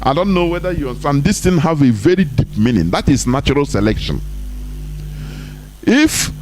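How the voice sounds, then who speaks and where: Guy doing a live broadcast talk, preachy and emphatic, voice a bit high, some music faint in back. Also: big pitch swings, moderate pace, darkish tone. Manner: emphatic, rises high on points, drops low for asides. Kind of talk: live online broadcast monologue.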